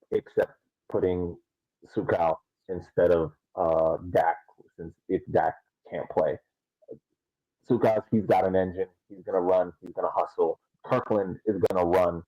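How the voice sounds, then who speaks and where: A man co-hosting a podcast, giving casual soccer analysis in a halting way and repeating words as he thinks. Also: deep voice, no echo, lo-fi sound. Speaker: podcast co-host.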